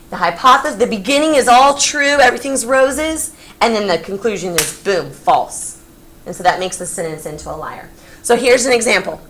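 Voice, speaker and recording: A woman from Texas teaching a geometry class, lively and playful as she coaxes her students along. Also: mid-range voice. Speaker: high school geometry teacher. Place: classroom, recorded from the back. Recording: echoey classroom, faint room noise.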